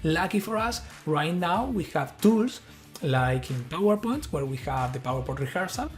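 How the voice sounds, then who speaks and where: A middle-aged man from Argentina with an Argentine Spanish accent, a native Spanish speaker, giving an earnest presentation talk in English with frequent halting restarts. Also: fairly high pitch, very animated, soft background music. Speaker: conference speaker.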